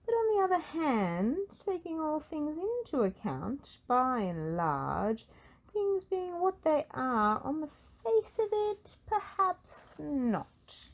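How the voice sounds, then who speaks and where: A woman voicing a wordy, stern male wizard from a children's storybook, with long-winded, drawn-out phrasing. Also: sits high, very animated, pitched above the performer's own voice, exaggerated voice. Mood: pompous, stern.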